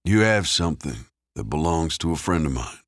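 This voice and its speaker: A man voicing a cold, enigmatic stranger who speaks in a measured, formal, chilling manner in an audiobook thriller. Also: extremely low pitch, sing-song, a bit bright, dry recording, studio quality, voice pushed up, heavily acted. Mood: calm, menacing. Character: cold, enigmatic, soft-menacing American man of few words.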